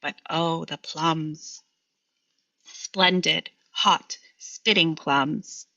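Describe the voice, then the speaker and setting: A woman's voice: low-pitched, steady tone, very slow, gravelly, pushed and bright, dry recording, lo-fi sound. A Vietnamese American woman poet speaking thoughtfully and reflectively as a guest. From Vietnamese American, from the United States. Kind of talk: reflective conversation and poetry reading.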